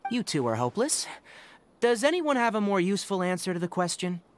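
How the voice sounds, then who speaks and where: A man's voice: high-pitched, very animated, a little fast. A man voicing an earnest, worried young tutor in video game dialogue, speaking politely and expressively. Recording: game music behind the voice.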